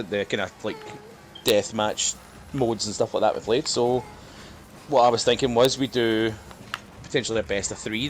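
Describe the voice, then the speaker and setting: A man co-hosting a casual gaming podcast, chatting informally. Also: mid-range voice. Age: adult, parent of young children. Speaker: podcast co-host. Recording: steady background noise.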